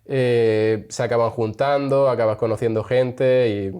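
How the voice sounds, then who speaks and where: A man's voice: medium pitch, a little slow, smooth. Laid-back young Spanish man. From Spain. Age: young adult. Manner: relaxed, chatty, laughs often. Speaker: interview guest. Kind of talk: casual interview chat.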